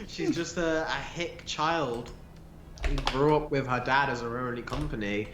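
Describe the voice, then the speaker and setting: A man's voice: mid-range voice, unhurried, crowd noise. Man in casual fan chat, echoey room. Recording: roomy, echoey sound.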